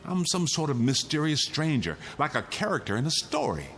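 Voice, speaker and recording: A man's voice: fairly high pitch, sing-song, a little fast, a bit bright, soft background music. An actor playing a weary, insistent condemned man pleading his case in a radio drama. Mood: agitated, arguing. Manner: insistent, pleading, arguing in rhetorical questions. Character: condemned male prisoner insisting the world is his nightmare, weary, urgent.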